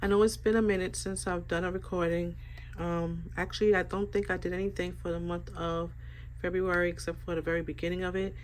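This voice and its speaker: Woman vlogger, stuffy sinus voice, relaxed rambling talk, faint background hiss. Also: lowish voice, fairly quick. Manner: relaxed, rambling, many fillers. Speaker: planner vlogger. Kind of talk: casual planner flip-through.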